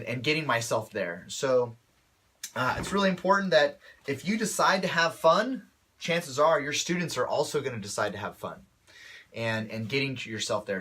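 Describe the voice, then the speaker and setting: A man speaks with a bright, slightly tense voice that a cold has left a little off. He coaches in a relaxed, upbeat way and keeps turning to his listeners with quick check-ins. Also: on the high side. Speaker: martial arts instructor. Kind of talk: casual instructional talk to camera.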